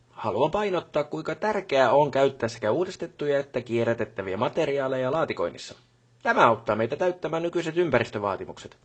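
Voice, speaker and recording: A man's voice: mid-range voice, breathy edge. A man playing a pompous middle-aged company boss in a video game, with a stiff, long-winded, bureaucratic way of speaking. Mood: formal, pompous. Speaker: job boss character. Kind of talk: formal scripted meeting speech in a video game.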